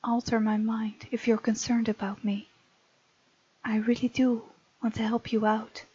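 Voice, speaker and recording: A woman voicing a bold, tender young heroine who narrates a visual novel romance in a breathy, often whispery voice. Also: fairly high pitch, flat delivery, measured pace, warm tone. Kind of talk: hushed dramatic reading of a visual novel. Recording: muffled lo-fi mic, music underneath.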